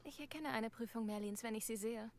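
Woman acting a high-voiced earnest young student witch. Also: fairly quick, very noisy, natural voice. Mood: wary. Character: young female student witch, game hero casting spells. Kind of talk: scripted game voice acting. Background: game music.

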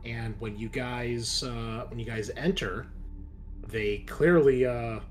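A man's voice: mid-range voice, takes it slowly. A man improvising narration as game master of a tabletop game in a conversational way. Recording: faint background noise.

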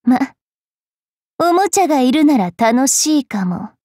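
A woman's voice: high voice, big pitch swings, unhurried, darkish tone, slight background noise. A woman voicing a haughty, teasing young female fairy knight in an anime mobile game. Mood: teasing, casual. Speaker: voice actress.

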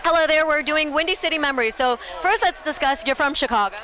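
High-pitched woman hosting party interviews. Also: quick pace, bright, forceful tone. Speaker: host interviewing guests. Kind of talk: upbeat red-carpet event interviewing. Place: celebrity party press wall. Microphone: handheld microphone.

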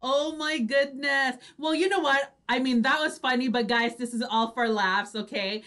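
A woman's voice: sits high, steady tone, normal speed, a bit bright. A woman co-hosting a casual radio show, bubbly and giggly with frequent exclamations. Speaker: online radio show co-host. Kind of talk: casual bantering live show chat.

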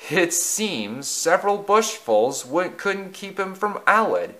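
A man's voice: high-pitched, very animated, slow, sharp tone, voice pushed up, full character voice. A man voicing a cheeky male steam engine in a storybook, teasing in a mocking way.

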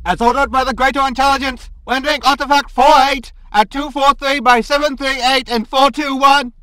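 Casting: male actor as zealous sci-fi ship computer, high-pitched, declamatory, audio comedy. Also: fairly even intonation, fairly quick, bright, forceful tone, no room sound. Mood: obedient, formal. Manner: pompous, declamatory. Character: zealous ship computer obeying a greater intelligence. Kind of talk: science fiction comedy audio drama.

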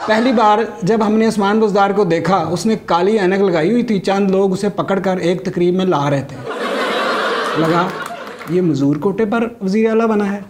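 Male satirist, middle-aged, Pakistani accent, high-pitched voice, scripted comedy monologue, clear background noise. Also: normal speed. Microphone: lapel mic.

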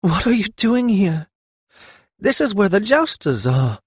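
A man's voice: sits high, very animated, measured pace, slightly rough, no room sound, pitched above the performer's own voice, full character voice. A man voicing a flustered, doting older mother with a fretful, exclamatory way of talking. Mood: overjoyed, babbling. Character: flustered, doting older mother, a warm-hearted working woman.

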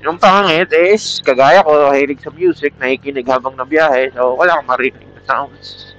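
Bright-voiced Filipino man on muffled helmet mic. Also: on the high side, fairly even intonation, normal speed. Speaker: motovlogger. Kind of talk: casual rambling vlog talk with product pitch. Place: outdoors, riding a motorcycle at night. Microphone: Bluetooth helmet intercom mic. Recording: muffled helmet intercom mic, band-limited.

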